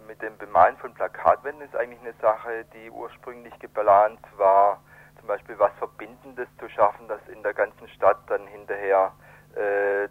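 Low-voiced man on a tinny phone line. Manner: halting, hesitant, searching for words. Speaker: event organiser, interviewed guest. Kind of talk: spontaneous radio interview by phone. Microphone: telephone. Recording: narrow tinny telephone line.

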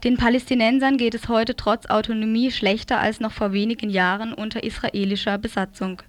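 A woman's voice: fairly high pitch, no echo. A woman reading a scripted political commentary aloud as a radio presenter.

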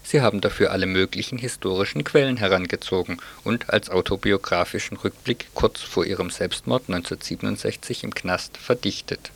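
A man's voice: sits low, gravelly. A man reading a scripted theatre review as a radio culture reporter.

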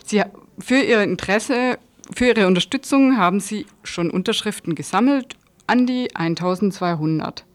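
A woman reading scripted news as a radio presenter. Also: mid-range voice.

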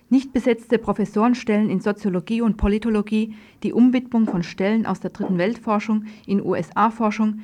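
A woman's voice: mid-range voice, darkish tone. A woman radio presenter reading a scripted political news report.

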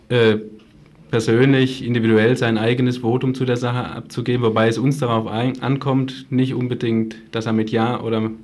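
A man's voice: medium pitch, a little mellow. A man speaking as an activist guest in a radio interview, explaining things earnestly and at length.

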